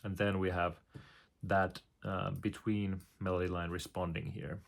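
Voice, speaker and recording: A man talks through his composing step by step, pausing often on fillers and humming short melody lines. His voice sits low with a gravelly, creaky edge. He explains things in a hesitant, searching way. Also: little pitch movement. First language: Finnish.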